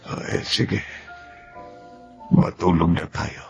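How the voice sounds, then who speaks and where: A man acting a gentle, tender middle-aged husband in a radio drama, husky and gravelly, with a slow, sentimental delivery. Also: deep voice, muffled recording, soft background music, full character voice. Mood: tender, wistful.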